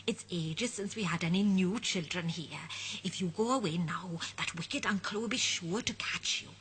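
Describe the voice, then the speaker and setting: A woman voicing a kindly, motherly old woman who speaks softly and gently. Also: lowish voice, moderate pace, a little hiss or hum, pitched below the performer's own voice, close to an everyday voice.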